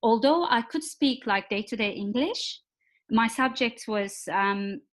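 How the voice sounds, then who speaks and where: Woman, middle-aged, slightly high pitch, thoughtful, pausing often. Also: lively intonation. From Turkey. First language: Turkish. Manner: thoughtful, frequent filler pauses. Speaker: guest, executive coach. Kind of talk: podcast interview.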